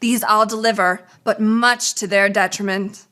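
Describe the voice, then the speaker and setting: A woman's voice: medium pitch, fairly even intonation, sharp tone. A woman playing a haughty, lovestruck noblewoman and army commander with a pompous, theatrical delivery in a radio play. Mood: gloating. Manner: pompous, grandly measured. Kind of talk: theatrical radio drama acting.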